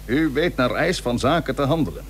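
A man's voice: mid-range voice, fairly quick, natural voice. An actor playing a stern, proud admiral and cautious seaman in a historical radio play, speaking formally and emphatically. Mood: measured, wary. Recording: old radio recording with faint hum.

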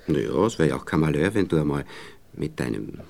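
A flirtatious Viennese gentleman in an intimate radio drama. His voice is deep and moves in wide, animated swings. He coaxes and patronizes, hesitating and tacking small questions onto his phrases. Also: fairly quick, creaky, full character voice. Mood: insistent, coaxing. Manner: hesitant, coaxing, peppered with little questioning tags. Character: married Viennese gentleman, condescending, coaxing, flirtatious seducer. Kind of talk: intimate radio play dialogue.

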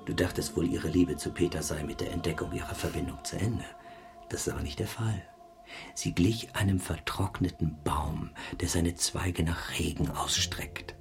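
An actor playing a sly, philosophising hotel floor waiter in a radio drama, insinuating and theatrical, with whispery asides. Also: male voice, deep voice, normal speed, faint music behind. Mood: mocking, insinuating.

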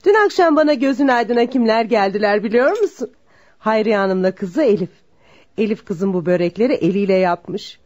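A woman's voice: medium pitch, animated, moderate pace, a little mellow, close to an everyday voice. An actress playing a doting, matchmaking mother of a grown son in a radio play. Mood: coaxing, eager. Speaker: radio drama actor. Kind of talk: naturalistic radio play dialogue. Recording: dull lo-fi radio play recording.

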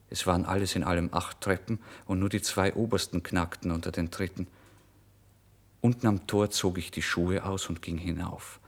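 An actor playing a penniless, emotional writer who recounts his misery in a theatrical, intense German radio drama reading. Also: sits low. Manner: theatrical, intense, swinging between hushed and exclamatory. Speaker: first-person narrator.

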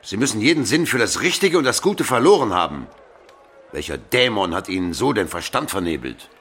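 An actor playing a blustering, imperious medieval emperor in a radio drama, indignant and booming. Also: male voice, big pitch swings, brisk, fairly bright tone, breathy edge, heavily acted. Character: hot-tempered, blustering medieval German emperor.

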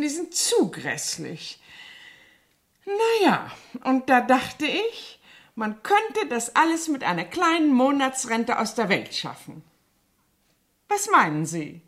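An actress playing a falsely naive cleaning woman in a radio drama, ingratiating and slyly blackmailing with quiet menace. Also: fairly high pitch, full character voice. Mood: coyly scheming. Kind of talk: 1970s German radio drama acting.

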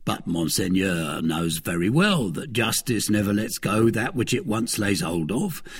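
A man's voice: lowish voice, expressive, a little slow, breathy edge, exaggerated voice. A man voicing a poor, deferential innkeeper in a storybook reading, pleading and calculating. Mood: meek. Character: poor male innkeeper, humble, wheedling and calculating.

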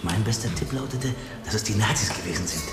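A man's voice: on the low side, expressive, fast, heavy background noise, lightly acted. A man playing a wisecracking cop. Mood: wry. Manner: wry, teasing. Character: cocky male police detective, wisecracking. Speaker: detective. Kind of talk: radio drama acting.

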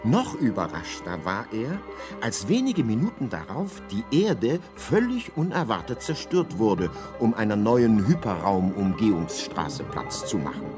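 Droll guidebook narrator, low voice, animated intonation, mock-solemn radio storytelling. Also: male voice, a little slow. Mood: wry recounting. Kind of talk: scripted radio drama narration. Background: music.

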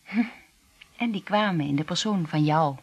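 An actress in a Dutch radio play, playing an earnest, conflicted secret agent princess with a husky voice. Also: female voice, fairly low pitch, normal speed, muffled recording. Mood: grave, recounting.

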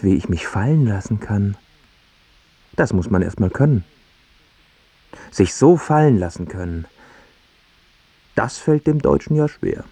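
Gravelly, self-pitying failed singer. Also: male voice, on the low side, expressive, a little slow, pauses often, mellow, full character voice. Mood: self-pitying, defensive. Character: failed pop singer, ailing, self-pitying, pleading grown man. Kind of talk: radio drama acting.

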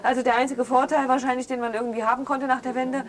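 Insistent, aggrieved grown daughter, higher-pitched woman acting. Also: level tone, fast, a little hiss or hum, lightly acted. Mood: defensive, aggrieved. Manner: insistent, argumentative, faltering restarts. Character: aggrieved grown daughter, left without inheritance, defensive. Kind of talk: improvised naturalistic interview drama in conversational speech.

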